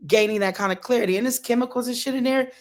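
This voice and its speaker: Impassioned organizer, emphatic delivery, quiet phrase endings, panel talk. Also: medium pitch, rapid-fire. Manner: emphatic, preacherly, drops to quiet at phrase ends.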